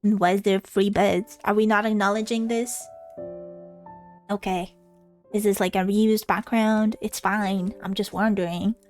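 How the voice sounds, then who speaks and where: A woman's voice: mid-range voice, level tone, a little slow. A woman streaming a visual novel, reading it aloud expressively and adding casual commentary. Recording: music playing behind the voice. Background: game music.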